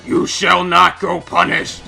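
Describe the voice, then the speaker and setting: A man voicing a shocked, indignant alien warrior hero with melodramatic, shouted demands, in a fan fiction reading. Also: high voice, completely flat delivery, takes it slowly, vocal fry, faint music behind, pitched above the performer's own voice, exaggerated voice. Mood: angry, demanding.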